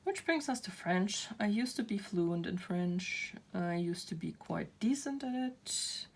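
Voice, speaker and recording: Slow, gravelly-voiced German woman chatting. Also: mid-range voice, expressive. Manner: chatty, self-deprecating, laughs often. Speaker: craft channel host. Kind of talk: casual chat to camera.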